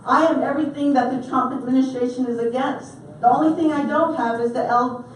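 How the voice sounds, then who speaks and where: A high-voiced woman from the Navajo Nation speaks with emotion and passion as an audience member at a town hall. Her voice is picked up from a distance in a strongly reverberant hall, with faint noise underneath. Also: fairly even intonation, brisk. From Navajo Nation, United States. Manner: emotional, impassioned. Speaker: audience member asking a question. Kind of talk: emotional public comment. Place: large echoey hall. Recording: echoey hall from far off.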